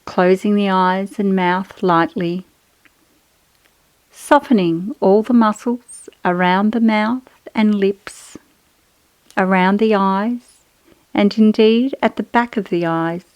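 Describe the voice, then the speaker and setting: A woman guiding a relaxation meditation in a soothing, measured, instructional manner with pauses between phrases. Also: mid-range voice, a little mellow, no echo, poor audio. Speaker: yoga nidra guide.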